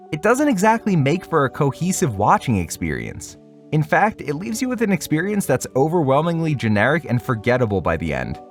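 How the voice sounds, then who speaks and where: A man delivering a scripted review as an online video host, wry and opinionated, with casual slangy asides. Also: on the high side. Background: music.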